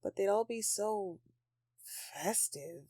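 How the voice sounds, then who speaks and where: A woman's voice: medium pitch, expressive, takes it slowly, dark tone, husky, no echo, clean studio sound. A woman playing a grumpy but affectionate Christmas elf in an intimate audio roleplay, with a sarcastic, dramatic, sighing delivery. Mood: brooding, affectionate.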